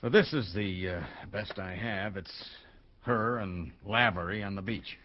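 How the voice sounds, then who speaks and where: An actor playing a troubled, blunt American businessman and wronged husband in a radio drama, speaking haltingly. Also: on the low side, muffled recording, lightly acted. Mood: uneasy, halting. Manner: halting, hesitant, with bursts of bluntness.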